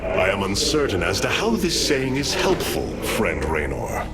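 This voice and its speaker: An actor playing a noble alien warrior leader in a video game, speaking in a formal, stately, commanding manner. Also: male voice, lowish voice, wildly swooping pitch, a little slow, heavy background noise. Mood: solemn, resolute. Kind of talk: video game cinematic acting. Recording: loud music and effects behind.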